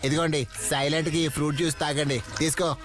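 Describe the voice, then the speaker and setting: Cartoon rescuer, acted by a man: raised pitch, rapid, curt, bossy. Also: flat delivery, over music, lightly acted. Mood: brisk. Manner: curt, instructing. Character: brisk, no-nonsense cartoon rescuer. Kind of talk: Telugu cartoon dub.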